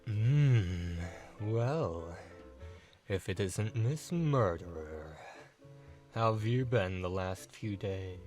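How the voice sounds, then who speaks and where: A man's voice: on the low side, lively intonation, extremely slow, darkish tone, soft background music. A man playing a smug, theatrical writer on the witness stand in an audio drama, with a suave, taunting and grandiloquent manner.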